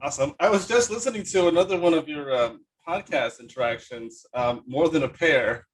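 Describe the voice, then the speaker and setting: A man's voice: on the high side, brisk, poor audio. A man hosting a podcast in a casual, eager and effusive chatty style. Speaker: podcast host. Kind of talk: casual chat, interviewing.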